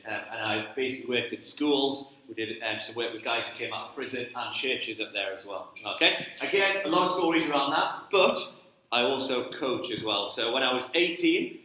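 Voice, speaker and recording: A man's voice: mid-range voice, bright, forceful tone. A man in his thirties with a Geordie accent, from Newcastle, giving a chatty church talk about sports ministry and often checking in with "okay?". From Newcastle, England. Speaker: guest speaker, sports ministry director. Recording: very echoey church hall.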